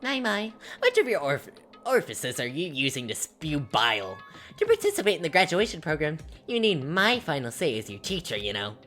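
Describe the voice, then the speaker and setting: A woman voicing a gleeful, tyrannical male bear mascot with a very high, squeaky, tight-throated voice and a mocking, overbearing manner. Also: huge pitch swings, normal speed, fairly bright tone, heavily acted. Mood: smug, mocking. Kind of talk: voice acting a game's dialogue while playing. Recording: faint game music behind.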